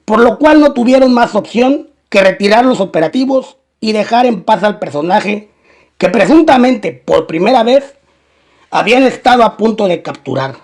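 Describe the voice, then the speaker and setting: A man's voice: high-pitched, animated, moderate pace. A man reading a scripted documentary-style crime narration.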